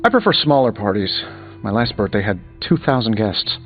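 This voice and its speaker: An actor playing a glib, quippy billionaire hero in a video game, with wry, flippant banter. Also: male voice, fairly low pitch, sing-song, normal speed. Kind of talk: video game character dialogue. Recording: slightly dull, music behind.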